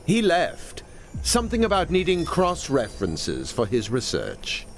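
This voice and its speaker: A man's voice: on the high side, huge pitch swings, a little slow, slight background noise, full character voice. An actor playing a curt, scornful male witch hunter in a fantasy video game, blunt and dismissive. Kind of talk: video game character acting.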